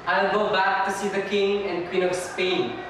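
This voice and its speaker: A man's voice: high-pitched, little pitch movement, unhurried. A male student performer playing a determined sailor dreaming of riches in a readers' theater recital. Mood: resolute. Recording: echoey hall from far off, noisy.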